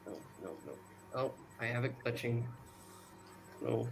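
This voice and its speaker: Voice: mid-range voice, unhurried, gravelly, background noise. A co-host on a casual livestream panel, chatting and reading out viewer votes.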